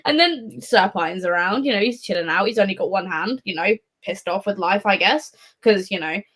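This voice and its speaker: A woman's voice: mid-range voice, normal speed, a bit bright. Wry, sweary woman chatting about books. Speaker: book readalong panellist. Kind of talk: casual book discussion, live.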